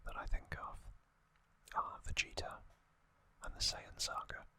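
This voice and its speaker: An adult ASMR host narrating in a soft, deliberate whisper, pausing often between short phrases. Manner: soft, deliberate, reflective with pauses.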